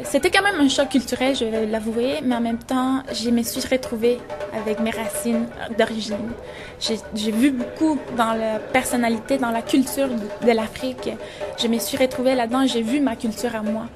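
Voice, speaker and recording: Young woman photographer from Venezuela, 20s, higher voice, reflective, music underneath. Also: steady tone, normal speed. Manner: reflective, searching for words. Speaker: photographer and journalism student. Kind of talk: interview about her photo exhibition.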